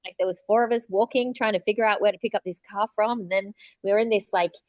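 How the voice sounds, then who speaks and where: A woman's voice: medium pitch, steady tone, fast talker, no room sound. A woman with an Australian accent chatting casually with friends as a podcast host.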